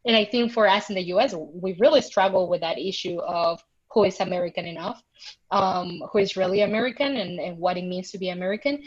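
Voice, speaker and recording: A woman's voice: on the low side, brisk. Earnest Mexican-accented woman on a muffled call. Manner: earnest, thoughtful, recounts with emphasis. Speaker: podcast guest. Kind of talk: reflective personal storytelling. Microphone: video call. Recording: muffled lo-fi video call audio.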